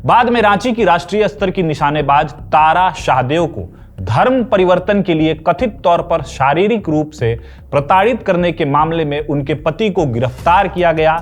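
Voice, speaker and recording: A man's voice: fairly high pitch, lively intonation, moderate pace. A man with an Indian accent delivering scripted explainer commentary in an emphatic way, with rhetorical questions. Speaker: video essay host. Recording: music behind the voice. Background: background music.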